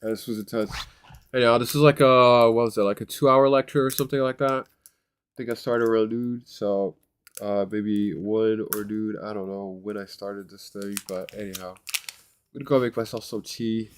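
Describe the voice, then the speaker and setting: A man with a stuffed-up, nasal voice talks to his camera in a loose, rambling way, stopping and restarting often. His voice sits low and stays flat, with a smooth, clean tone. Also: normal speed. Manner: rambling, hesitant, many fillers and restarts. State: congested, nasal voice. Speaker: vlogger. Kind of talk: casual self-recorded vlog.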